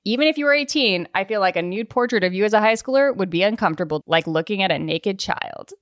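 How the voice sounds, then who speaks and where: A woman's voice: mid-range voice, lively intonation, fast, a bit bright, no echo, studio quality. A woman hosting a podcast in casual, conversational chat, with an informal, anecdotal and teasing way of talking. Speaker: podcast host.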